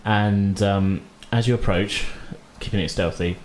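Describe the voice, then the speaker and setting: A man acting as game master, narrating a fantasy tabletop game casually and haltingly as he searches for words. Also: on the low side, fairly even intonation, a little hiss or hum.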